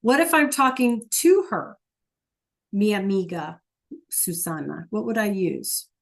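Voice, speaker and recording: Slow-paced woman teaching an online class. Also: mid-range voice, animated, vocal fry. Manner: patient, checks in with students often. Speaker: Spanish teacher.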